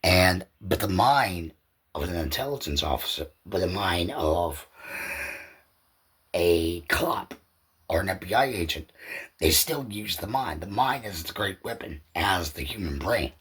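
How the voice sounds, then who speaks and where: A man's voice: deep voice, expressive, unhurried, fairly bright tone, breathy edge. A man hosting a podcast, talking in a casual, rambling solo commentary that loosely circles back over points. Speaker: podcast host.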